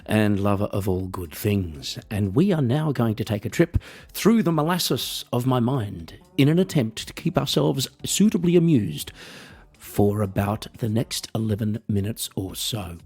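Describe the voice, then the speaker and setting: An Australian man hosting a podcast, delivering a wry, rambling, mock-earnest comedic monologue with a husky voice. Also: fairly low pitch, expressive, unhurried, darkish tone. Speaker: podcast host.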